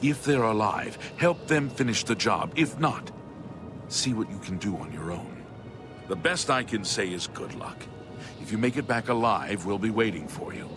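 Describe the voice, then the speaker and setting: An actor playing a weary but determined city guard captain in a fantasy video game, commanding and exasperated. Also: male voice, lowish voice, lively intonation, moderate pace, music in the background, lightly acted. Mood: grim resolve.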